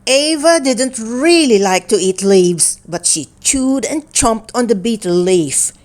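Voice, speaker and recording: A woman reading a children's storybook aloud in an expressive, deliberate storytelling manner. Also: on the high side, slight background noise. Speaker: storybook reader.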